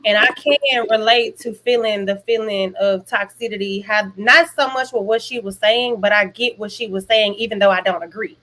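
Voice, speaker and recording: A woman's voice: mid-range voice, fairly even intonation, normal speed, few pauses, a bit bright, rough recording. A woman on a podcast panel talking candidly and casually in slangy, conversational language.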